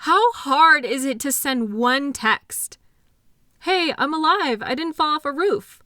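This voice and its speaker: A woman acting a hurt, anxious girlfriend in an intimate audio drama, emotional, accusatory and halting as she argues with her partner. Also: high-pitched, animated, bright, forceful tone, dry recording, clean studio sound, exaggerated voice. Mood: exasperated, reproachful.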